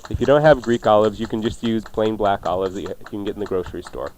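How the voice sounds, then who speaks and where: A man's voice: fairly low pitch, quick pace, a little mellow. Jokey man hosting a cooking show, noisy recording. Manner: jokey, informal banter. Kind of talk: casual radio cooking chat.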